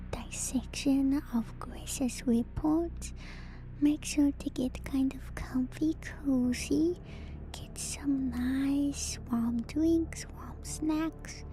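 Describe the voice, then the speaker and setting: A woman livestream host chatting in a soft ASMR style, moving between whispers and quiet speech, very close to the mic with audible mouth noises. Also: sits high. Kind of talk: whispered ASMR stream chat. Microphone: very close microphone with gain turned up, mouth noises. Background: music.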